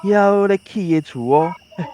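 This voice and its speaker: A man's voice: high-pitched, a little slow, a little mellow, dull audio. An actor with a Taiwanese accent playing a talkative, opinionated male villager in a radio drama. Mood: chatty. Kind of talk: conversational radio drama acting.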